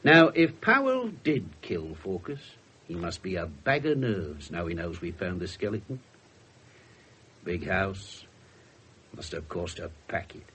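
An actor playing a wry, blunt English detective inspector in a radio drama, talking colloquially. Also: male voice, low-pitched, very animated, unhurried, a bit bright, a little hiss or hum, exaggerated voice. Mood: wry, conversational. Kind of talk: 1970s British radio drama acting.

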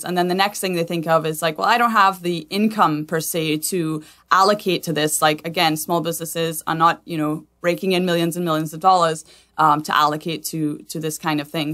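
A woman's voice: lowish voice, a bit bright. A woman from Trinidad and Tobago explaining things conversationally as an expert interview guest. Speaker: guest, sustainability consultant.

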